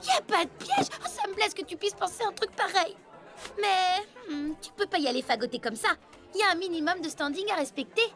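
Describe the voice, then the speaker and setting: A woman's voice: very high voice, very animated, fairly quick, music in the background. A woman voicing a snobbish, bossy young female bug, a spoiled mayor's daughter, with haughty, dramatic delivery in a cartoon dub. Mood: gushing, bossy.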